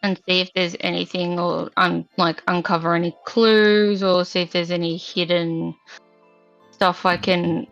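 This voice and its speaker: A woman's voice: on the low side, fairly even intonation, a little slow, creaky, fairly bright tone, dry recording, soft background music. A woman playing a tabletop game, asking the game master rambling, tentative questions. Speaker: player. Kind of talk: casual chat at a tabletop game. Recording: dry, slightly dull mic.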